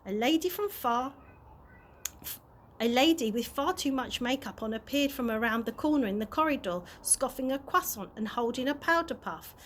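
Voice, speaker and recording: A woman reading a children's story aloud with expressive, animated narration. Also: on the high side, normal speed, slight background noise. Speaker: early years practitioner reading aloud.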